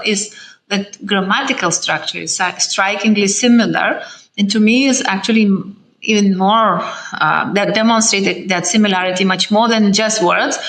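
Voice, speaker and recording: A middle-aged woman with a Lithuanian accent, English being her second language. Her voice sits at an ordinary mid pitch. She explains things carefully and at length, with many "uh"s, small restarts and a thoughtful, measured delivery. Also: a bit bright, low-quality recording. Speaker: ambassador. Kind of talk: thoughtful interview answer.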